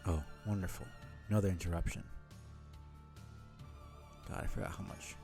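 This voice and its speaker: A man's voice: low voice, fairly even intonation, normal speed, music in the background. A man giving casual, rambling live-stream commentary in a blunt, sweary way. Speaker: streamer reading a webcomic aloud.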